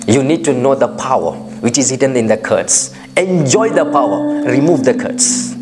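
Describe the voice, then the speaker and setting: A man from India preaching a sermon, emphatic and repetitive, with frequent restarts. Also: fairly high pitch.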